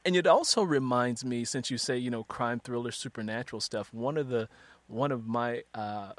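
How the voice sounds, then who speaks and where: A man's voice: mid-range voice, moderate pace, no echo. A man hosting a conversational interview, talking at length and rephrasing his thoughts as he goes.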